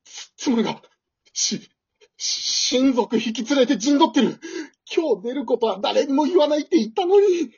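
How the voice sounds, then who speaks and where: A woman's voice: sits high, expressive, normal speed, a little mellow, breathy edge, lo-fi sound, full character voice. A woman playing a timid, flustered married man in an amateur radio drama, stammering, apologetic and self-deprecating. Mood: exasperated, panicked.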